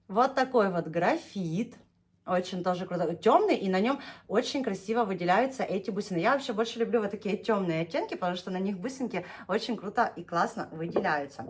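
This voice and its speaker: A young woman talks through a yarn unboxing for her knitting channel in a relaxed, chatty way. Her voice sits at an ordinary middle pitch. She gushes, laughs easily and stretches out her words as she lingers over each shade. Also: fairly quick. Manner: chatty and gushing, laughs often, drawls when naming shades. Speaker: knitting vlogger showing yarn. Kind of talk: casual unboxing chat.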